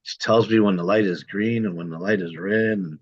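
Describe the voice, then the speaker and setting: A lowish, husky man's voice, talking quickly and jokingly. It comes through a muffled, lo-fi call line. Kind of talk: casual chat.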